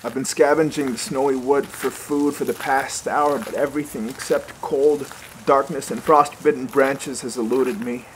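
A man's voice: mid-range voice, fairly even intonation, normal speed, hardly any pauses, a little hiss or hum, lightly acted, low-quality recording. An actor playing a weary, sweary survivor narrating his own trek in a dramatic audio series. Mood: weary, determined. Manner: casual, hesitant in dialogue, swearing. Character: sweary, weary male survivor trekking through snowy wilderness. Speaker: narrator and lead character. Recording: music bed under the voice. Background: soundtrack music.

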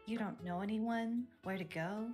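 A woman's voice: medium pitch, moderate pace, over music, close to an everyday voice. A woman voicing a blunt, casual schoolgirl in an amateur webtoon dub, plain and matter-of-fact. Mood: grumbling.

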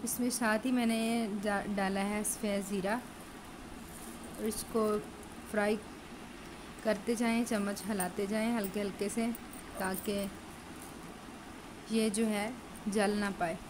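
A woman home cook talks casually through each step of her recipe. Her voice sits at a medium pitch. A phone over the pan picks her up with clear background noise and sizzling. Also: level tone, takes it slowly, pauses often. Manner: chatty, explains steps while cooking. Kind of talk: cooking tutorial narration. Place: home kitchen at a stove. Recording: noisy home recording.

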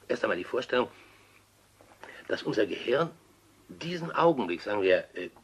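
A man's voice: medium pitch, fairly bright tone, slight background noise. An actor playing an appalled, sceptical man in his late sixties in a radio crime play, agitated and hesitant, repeating his words in protest. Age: older. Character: appalled, sceptical sixty-eight-year-old male friend.

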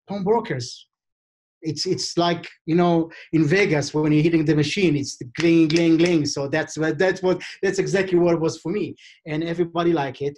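A man's voice: on the high side, darkish tone. Middle-aged Israeli man on a webcam call. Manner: halting, many fillers and restarts, broken English phrasing. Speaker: diamond expert and vendor. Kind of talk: video call interview. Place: office indoors. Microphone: video call webcam.

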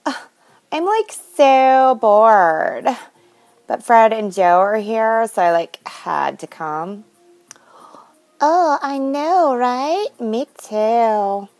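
Brief: woman as bored young cartoon partygoer, high voice, extremely slow drawl. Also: very animated, darkish tone, no room sound, exaggerated voice. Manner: exaggerated drawling, filler-heavy slang. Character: bored, reluctant young partygoer in a toy animation.

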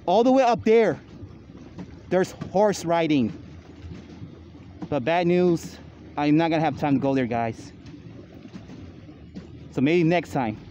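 Young man, slightly high voice, casual vlog narration, handheld phone outdoors, clearly noisy background. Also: mellow, dull audio. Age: young adult. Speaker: vlogger, channel host. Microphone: phone at arm's length.

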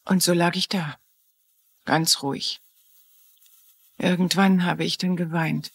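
An actress playing an anxious, loyal wife with an earnest, worried manner in a dubbed TV mystery. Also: female voice, lowish voice, fairly even intonation, slow pace, creaky, husky, heavily acted. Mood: regretful.

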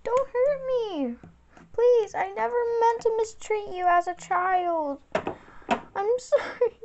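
A woman's voice: extremely high pitch, takes it slowly, a little hiss or hum, exaggerated voice. A woman voicing a guilty mother who pleads with and apologizes to her daughter in a skit. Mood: pleading. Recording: dull lo-fi sound with loud guitar music behind.

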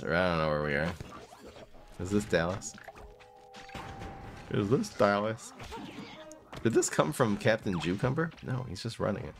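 Male streamer, thirties, lowish lively voice, rambling jokey chat. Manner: joking, rambling, often laughs at himself. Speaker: game streamer. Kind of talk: casual live-stream commentary. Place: small room indoors.

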